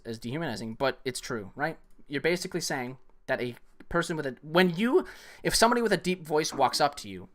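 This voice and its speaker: Male streamer, mid-range pitch, bright edge, argumentative and profane. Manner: heated, argumentative, swears a lot. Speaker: live streamer arguing with a viewer. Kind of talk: casual live-stream rant.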